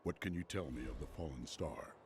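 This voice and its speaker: Very deep-voiced stoic warrior. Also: male voice, a little fast, noisy background. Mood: resolute. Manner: terse, formal, commanding. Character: stern, stoic warrior hero, resolute. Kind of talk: fantasy video game voice acting. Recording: music behind the voice.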